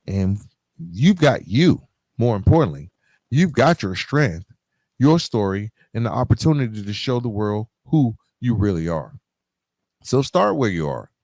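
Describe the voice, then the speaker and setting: A man's voice: fairly low pitch, darkish tone, no room sound. A man hosting a podcast solo, speaking in an earnest, emphatic motivational style straight to his listeners, repeating key phrases. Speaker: podcast host.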